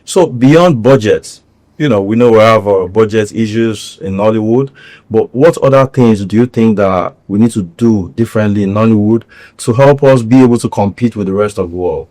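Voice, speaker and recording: A man's voice: fairly low pitch, moderate pace, warm tone, dry recording. A man hosting an interview, asking questions and giving short supportive replies. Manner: supportive, frequent short backchannels. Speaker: podcast host. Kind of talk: interviewer, brief affirmations.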